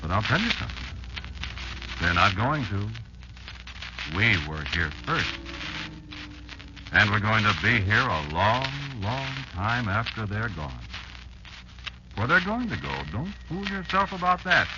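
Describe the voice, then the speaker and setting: Old insect patriarch, vintage radio drama, low-voiced, ominous, deliberate, very animated intonation, dull archival sound. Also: male voice, background noise. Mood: bitter, defiant. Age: older. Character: old insect elder warning his child of giants. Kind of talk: 1940s radio drama monologue. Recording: muffled old 1940s radio recording, loud music.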